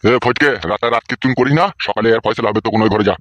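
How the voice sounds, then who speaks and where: A man's voice: lowish voice, steady tone, rapid-fire, fairly bright tone, no echo, lightly acted. A man voicing a weary, indulgent old village father keeping the peace in a comic cartoon. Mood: placating. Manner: peacekeeping, exasperated scolding.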